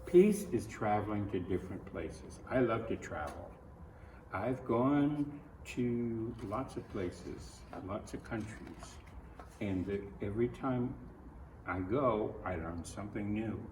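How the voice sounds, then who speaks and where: An older man reading a children's picture book aloud, with folksy, grandfatherly asides spoken directly to young listeners. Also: on the low side. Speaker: storybook reader. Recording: roomy echo, distant mic, noisy background.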